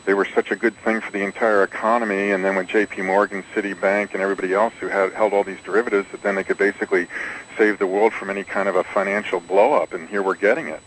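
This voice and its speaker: A very deep-voiced man from Nebraska phones into a radio show. He winds through a long, meandering question, with a faint hiss of noise behind him. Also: level tone, fast talker, fairly bright tone, low-quality recording. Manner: rambling, long-winded question. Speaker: caller. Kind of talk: call-in question.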